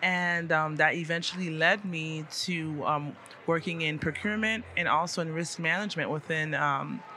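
A young woman answers interview questions in a very bright, forward voice. She is earnest and articulate in a conversational way, with faint location noise behind her. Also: low-pitched, moderate pace. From South Florida, United States. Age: young adult. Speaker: interview guest, city commissioner and small business owner. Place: conference venue at a hotel.

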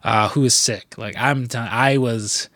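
A man's voice: mid-range voice, steady tone, unhurried, a bit bright, no echo, clean studio sound. A man hosting a casual podcast, talking loosely with lots of swearing and fillers, still a bit congested with the sniffles. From US Midwest. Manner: loose and profane, rambling with many fillers. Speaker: podcast host. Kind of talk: casual chat.

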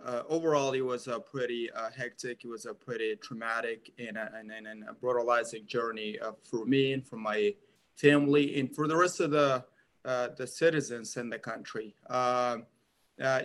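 A man's voice: mid-range voice, a bit bright. A man, answering interview questions earnestly with many fillers and repeats. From Afghanistan. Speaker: interview guest, author.

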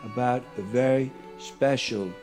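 A man's voice: mid-range voice, unhurried, darkish tone. A man narrating a parody bedtime story in a boastful, repetitive storybook way, played from a clip. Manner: bragging, repetitive storybook phrasing. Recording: played clip with faint music.

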